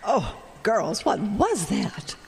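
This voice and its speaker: A woman's voice: medium pitch, big pitch swings, extremely slow, music in the background, heavily acted. Swooping, ditzy, chipper mother. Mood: politely oblivious. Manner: airy, oblivious, chipper. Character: ditzy, cheerful, trusting middle-aged mother. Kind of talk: comedic audio drama acting. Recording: hollow reverberant room, clearly noisy.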